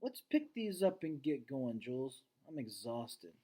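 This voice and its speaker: A man's voice: mid-range voice, big pitch swings, measured pace, dark tone. A man voicing a tired, dismissive father in a storybook read-aloud, weary and offhand.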